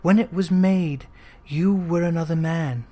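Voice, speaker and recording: A narrator voicing a gentle, dignified young woman who speaks with earnest sorrow and resolve in an old storybook drama. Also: medium pitch, a little slow, close to an everyday voice. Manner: earnest, soft-spoken, dignified.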